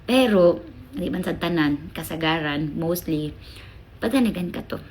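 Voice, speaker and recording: A woman's voice: lowish voice, a little slow, a little mellow, slight background noise. A woman from the Philippines with a Bisaya Filipino accent, chatting casually as a vlogger and giving frank, emphatic advice with rhetorical questions and little tag words. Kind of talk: casual chat to camera, giving blunt advice.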